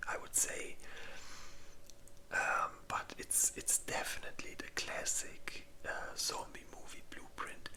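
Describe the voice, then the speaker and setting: Slow, bright ASMR whisperer. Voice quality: breathy unvoiced whisper. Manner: hesitant, halting, informal. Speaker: ASMR video creator. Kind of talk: whispered ASMR movie list.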